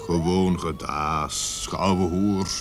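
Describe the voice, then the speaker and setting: An actor playing an experienced, gruffly compassionate police sergeant in a Dutch radio play, weary and emphatic. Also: male voice, low-pitched, fairly even intonation, extremely slow, noisy background. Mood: dismissive.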